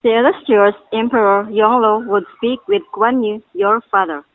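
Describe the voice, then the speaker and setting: A woman's voice: fairly high pitch, fairly even intonation, normal speed, narrow, tinny audio, over music, lightly acted. A woman playing a loyal, worried servant who speaks formally and deferentially in a stage drama. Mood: ceremonious. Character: loyal, worried servant bearing messages to the master. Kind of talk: amateur stage-play dialogue, formal archaic English.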